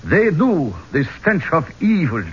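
An actor playing a proud, solemn Egyptian man in a 1970s radio drama, speaking formally and ominously in a measured way. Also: a little high, very animated, breathy edge, full character voice. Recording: muffled old radio broadcast recording.

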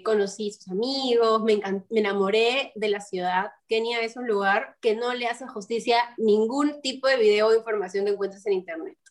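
A woman from Peru in her twenties, telling her story in an animated, chatty way during an interview. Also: medium pitch. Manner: animated, storytelling with quoted voices. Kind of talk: casual interview chat.

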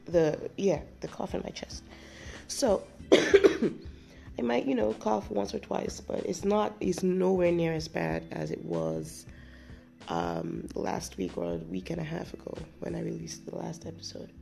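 Casting note: chatty female podcast host, voice still rough from a cold, heavy vocal fry, lowish, soft music under her. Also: animated, unhurried. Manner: chatty, rambling, frequent restarts and laughs. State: recovering from a cold, chesty cough. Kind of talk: casual storytelling monologue.

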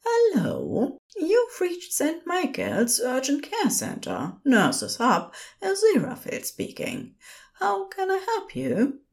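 Woman as male nurse in audio fiction: high, lilting, prim, courteous, soothing, unhurried. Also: vocal fry, full character voice. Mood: courteous, patient. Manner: polite, formal, calm.

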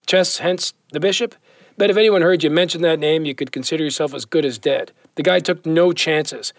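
A man's voice: fairly high pitch, brisk, no room sound, natural voice. A man playing a weary, guilt-ridden former cop and compulsive gambler in an audio drama, brooding and confessional in manner.

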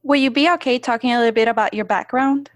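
A woman's voice: fairly high pitch, steady tone, fast, no room sound, clean studio sound. Puerto Rican woman interviewer, Spanish accent. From Puerto Rico. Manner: earnest, searching for words. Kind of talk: interview questions.

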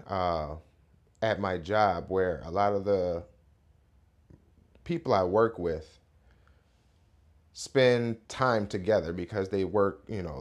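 Hoarse, slightly raspy man podcasting. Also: low-pitched. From Rochester, New York area. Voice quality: relaxed, rambling delivery with many fillers. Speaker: podcast host. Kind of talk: casual morning podcast chat.

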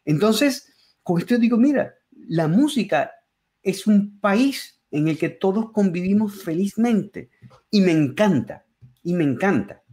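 A man talks as a guest over a remote call. His voice sits a little higher than most men's. He tells his stories with enthusiasm, pausing to reflect. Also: expressive, darkish tone. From Venezuela. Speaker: interview guest, musician and comedian. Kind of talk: conversational interview.

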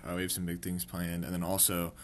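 A man co-hosting a comic podcast, telling tall tales deadpan with hesitant fillers. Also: low voice, fairly quick, a little hiss or hum. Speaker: podcast co-host.

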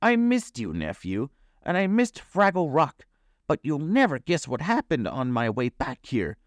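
A man puts on a self-important little creature uncle from a storybook. The voice is high and swooping. He tells of his adventures with boastful drama. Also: wildly swooping pitch, measured pace, dry recording, clean studio sound. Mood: blustery, boastful.

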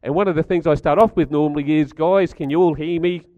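A middle-aged man with a croaky voice, giving a chatty talk to a live audience and asking them questions. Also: on the high side, fast talker, a little mellow, dry recording, muffled recording. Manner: chatty, stats-driven, asks the audience questions. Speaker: speaker at a community gathering.

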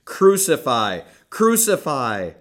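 A man voicing a furious crowd of accusers, shouting harshly and insistently at a higher pitch. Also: wildly swooping pitch, slow pace, full character voice. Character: angry crowd of priests and accusers.

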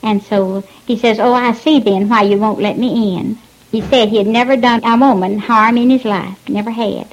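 A woman from rural Virginia with a Southern Appalachian accent, plainly recalling what she witnessed in a halting, folksy way. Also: a little high, fairly even intonation, low-quality recording. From rural Virginia, United States. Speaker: eyewitness. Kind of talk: plain recounting of a personal memory.

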